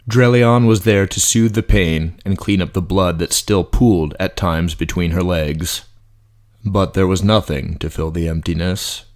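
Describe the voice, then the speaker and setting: A man's voice: low voice, a little mellow. A man narrating a fantasy audiobook from a script in a dramatic storytelling style.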